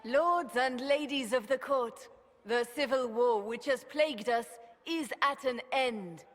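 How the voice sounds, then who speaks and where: An actress playing a regal, composed empress in a video game, speaking formally and measuredly to her court. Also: fairly high pitch. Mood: solemn, resolute. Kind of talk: formal dramatic game acting. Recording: long hall reverb over music.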